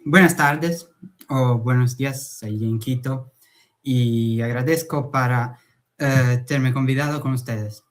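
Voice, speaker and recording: Slow, hesitant male academic speaker. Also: medium pitch. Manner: slow, hesitant, frequent "eh" fillers. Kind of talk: conference talk.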